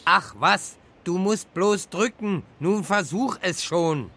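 An actor playing an anxious, wrecked family car that talks haltingly and repeats its words, in a children's radio play. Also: medium pitch, pushed and bright, breathy edge, heavily acted. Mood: urging. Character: wrecked talking family car, anxious, urging, machine character.

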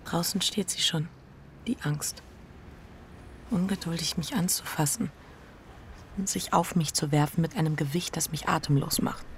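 Radio drama casting: fearful, guilty wife, lowish creaky voice, tense breathy inner monologue, faint background noise. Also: female voice, normal speed, long pauses. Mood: oppressed dread. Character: anxious, guilt-ridden bourgeois adulterous wife. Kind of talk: German radio drama acting with first-person narration.

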